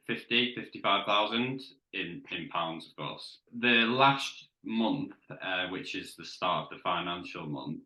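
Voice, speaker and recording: A very deep-voiced man with a sharp, bright edge to his tone talks over what sounds like an echoey room. He answers interview questions in a relaxed way, with his sentences often trailing off. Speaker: web agency owner.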